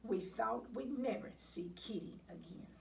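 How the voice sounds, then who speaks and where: A woman reading a children's picture book aloud, with dramatic, expressive delivery and deliberate pauses. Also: on the high side, vocal fry. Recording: distant mic, faint background noise.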